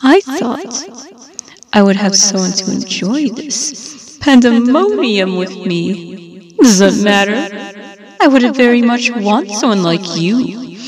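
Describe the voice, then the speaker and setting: A woman's voice: medium pitch, animated, slow, crowd noise. A woman voicing a campy, sinister demon villain with an androgynous edge, theatrical and sweetly taunting in an ASMR roleplay. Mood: coy, indulgent. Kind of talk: character ASMR roleplay, speaking to the listener.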